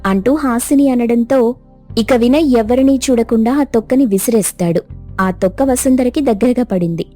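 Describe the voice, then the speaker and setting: A woman narrating a cartoon story in a steady, plain storytelling manner. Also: on the high side, normal speed, soft background music.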